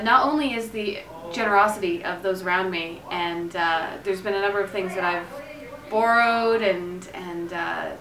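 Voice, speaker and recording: A woman's voice: mid-range voice, a bit bright. A woman theatre director talking enthusiastically and off the cuff in an interview. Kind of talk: unscripted interview talk. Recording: roomy echo, distant microphone.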